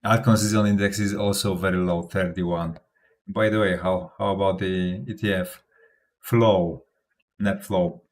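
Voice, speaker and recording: Self-assured man, low voice. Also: steady tone, measured pace. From Poland. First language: Polish. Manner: rambling, self-assured, laughs often, restarts words. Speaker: crypto channel host. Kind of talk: casual livestream commentary.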